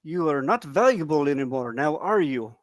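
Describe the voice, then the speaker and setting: A man's voice: a little high, animated, normal speed, no echo, clean studio sound, lightly acted. A man at a screenplay table read, acting out crime thriller characters in a hostage scene. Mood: gloating. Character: armed male henchman and his bargaining captive.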